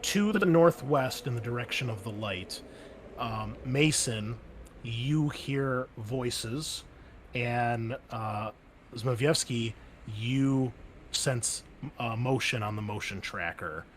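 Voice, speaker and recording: A man with a middling voice serves as game master for a tabletop roleplaying game. He explains and narrates conversationally, pausing as he thinks. There is some background noise on the recording. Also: mid-range voice.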